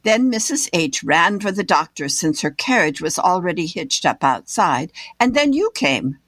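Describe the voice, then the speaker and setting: An actress playing a nervous, deferential, literal-minded maidservant who rambles, in a period audio drama. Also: female voice, lowish voice, big pitch swings, normal speed, fairly bright tone, dry recording. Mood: flustered, defensive.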